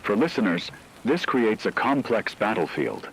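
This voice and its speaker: A man playing a grave radio news anchor, delivering a scripted emergency war broadcast in a measured, solemn, analytical manner. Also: fairly low pitch, big pitch swings, slight background noise, low-quality recording. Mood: grave, urgent.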